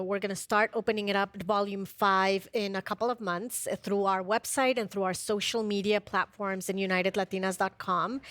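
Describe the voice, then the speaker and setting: A woman's voice: medium pitch, a bit bright, no echo. A woman speaking as a panel guest in an earnest, emphatic and encouraging conversational way. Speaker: panel guest, community organization leader. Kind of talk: conversational promotional panel talk.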